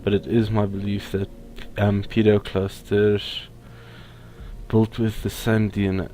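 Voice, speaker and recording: A man's voice: on the low side, flat delivery, measured pace, dark tone, rough recording. A man giving casual let's play commentary, reading text aloud and stumbling over technical words. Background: faint video game music.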